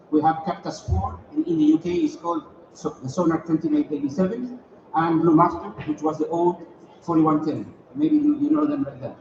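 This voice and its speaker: A higher-voiced man from Chile presents in a large conference hall, heard from a distance with long echo. He talks in a halting way, repeating words and correcting himself as he moves through his slides. The recording is dull with clear background noise. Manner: hesitant, repeats words while explaining slides. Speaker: sonar industry presenter.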